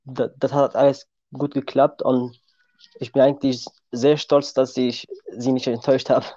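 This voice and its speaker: Man in his twenties, mellow voice, dull lo-fi recording. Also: medium pitch, level tone, normal speed, dry recording. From Syria. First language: Kurdish. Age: 20s. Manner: plain, simple sentences. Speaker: guest. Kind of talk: podcast interview.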